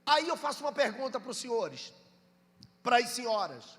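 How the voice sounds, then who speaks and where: A Brazilian pastor from Bahia with a Bahian accent, preaching a sermon, reading scripture slowly and calling on the congregation to answer. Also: male voice, very high voice, sing-song, fairly bright tone, in a large hall. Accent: Bahian Brazilian Portuguese. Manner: reads scripture slowly and drawn out, urges listeners to respond.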